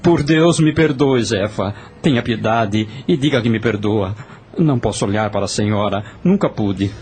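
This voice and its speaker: Low-voiced remorseful Brazilian ex-thug played by a man. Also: normal speed, a little mellow, slight background noise, natural voice. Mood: pleading, ashamed. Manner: confessional, remorseful. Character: guilt-ridden dead male spirit, a former hired thug confessing. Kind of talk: audio drama acting.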